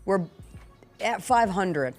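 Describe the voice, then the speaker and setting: A woman's voice: medium pitch, expressive, slow, faint music behind. A woman hosting a sports talk show, chatting casually and without a script.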